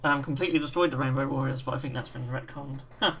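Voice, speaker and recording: A British man with a croaky voice hosting a livestream, chatting casually and reading out viewer messages while painting miniatures. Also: medium pitch, fast talker, a little hiss or hum. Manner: relaxed, reads out chat messages. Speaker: livestream host.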